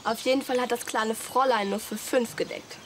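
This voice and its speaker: A woman's voice: a little high, fairly even intonation, brisk, background noise, close to an everyday voice. A woman playing a cheeky, know-it-all young daughter in a German comedy radio play, pert and matter-of-fact.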